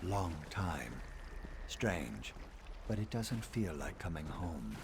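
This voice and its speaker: An actor playing a scholarly reptilian assassin in a video game, speaking in a measured, formal, dryly sardonic way. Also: male voice, sits low, very animated, creaky, background noise, full character voice. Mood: brooding. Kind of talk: video game dialogue acting.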